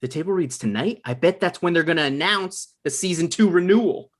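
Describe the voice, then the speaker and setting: An actor at a comedy table read playing a petty, self-important production coordinator, dry and argumentative. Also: male voice, fairly high pitch, animated, a little fast. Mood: peeved.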